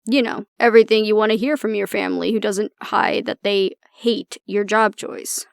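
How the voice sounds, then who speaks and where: A woman playing an anxious young woman with a tough front and a soft core, confiding casually and with swearing in an intimate audio roleplay. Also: mid-range voice, fairly even intonation, moderate pace, gravelly, clear, resonant tone, no echo, studio quality, lightly acted. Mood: wry, guarded.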